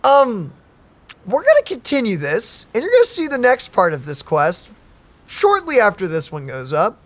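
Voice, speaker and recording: A video game streamer giving casual, informal and expressive commentary as co-host. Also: mid-range voice, normal speed. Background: game music.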